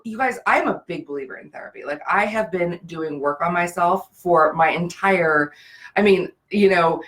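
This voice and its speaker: A woman's voice: lowish voice, normal speed, fairly bright tone. A woman speaking as the host of a live business interview show. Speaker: business show host, brand and profit strategist.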